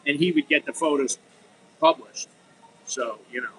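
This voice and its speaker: A man's voice: fairly high pitch, normal speed, a bit bright, a little hiss or hum, low-quality recording. A middle-aged American man talking frankly in a rambling, unscripted monologue, full of restarts and "you know.". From United States. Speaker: vlogger.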